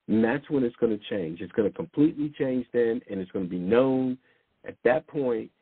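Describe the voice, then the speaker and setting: A man's voice: medium pitch, expressive, moderate pace, husky, no room sound. A man preaching informally in a rambling, blunt way, often repeating words and restarting. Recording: tinny phone-like sound, dry.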